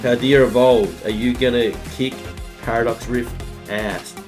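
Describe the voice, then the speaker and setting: Slightly husky upbeat man. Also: medium pitch, steady tone, unhurried, over music. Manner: upbeat, hype-building, chatty. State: slightly husky from a recent bug. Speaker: card-opening YouTuber host. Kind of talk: casual pack-opening vlog. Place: home room with colored lights. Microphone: studio mic on a desk arm.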